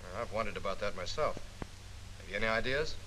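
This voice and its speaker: An actor playing a skeptical, probing intelligence officer in a 1940s training film, questioning dryly. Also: male voice, on the low side, animated, fast, pushed and bright, rough recording. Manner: dry, questioning, slightly wry. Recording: 1940s film soundtrack with faint hiss.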